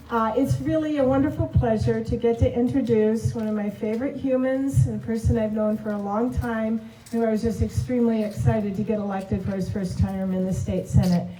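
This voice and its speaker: A woman's voice: a little high, warm tone, echoey room, distant mic, a little hiss or hum, low-quality recording. A woman, a former legislator, emceeing a rally and introducing the speakers in a friendly, chatty way.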